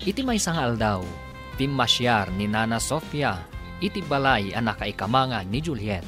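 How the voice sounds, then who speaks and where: A man's voice: medium pitch, sing-song, moderate pace, music in the background. A man narrating and announcing a radio drama from a script, with dramatic emphasis.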